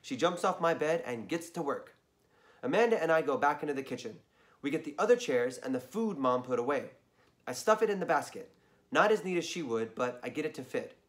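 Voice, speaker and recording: A hoarse man reading a children's story aloud, chatting in asides to his listeners. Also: fairly high pitch, lively intonation, brisk.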